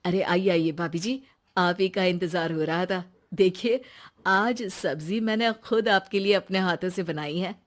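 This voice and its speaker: A woman's voice: fairly low pitch, unhurried, lightly acted. A woman playing a gushing, hospitable and flirtatious married man next door in a cartoon. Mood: effusively welcoming. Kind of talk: comic cartoon dialogue.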